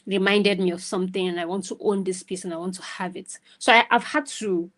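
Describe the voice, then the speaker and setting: A woman speaks at a medium pitch as a guest mentor on a video call. Her advice is casual and emphatic, with frequent little check-ins to the listener. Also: steady tone, brisk, fairly bright tone. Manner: emphatic, often tags phrases with "right?".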